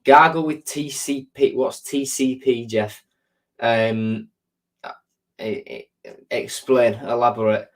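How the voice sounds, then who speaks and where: A man's voice: mid-range voice, steady tone, slow, pauses often. A man hosts a live stream and chats easily with his viewers, reading out their comments and answering them. His voice sounds congested, with a sore throat and a blocked nose. He rambles along, filling gaps with ums and repeating words.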